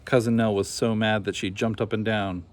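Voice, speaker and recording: A man's voice: on the low side, level tone, normal speed. Man reading a children's story, noisy recording. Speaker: storybook reader. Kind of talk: scripted children's story narration.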